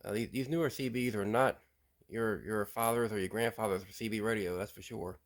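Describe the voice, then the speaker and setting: Guy with a lowish voice doing a laid back show and tell, lots of uh's, repeats words. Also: a little fast. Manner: relaxed and plain-spoken, with frequent "uh" and repeated words. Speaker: radio hobbyist host.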